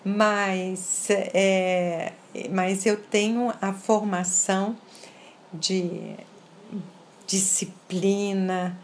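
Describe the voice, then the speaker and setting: A woman from France with a slight French accent, answering interview questions in a measured way, stressing single words and often checking in with "tá?". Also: mid-range voice, level tone, a little hiss or hum. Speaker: interview guest. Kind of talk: casual interview conversation.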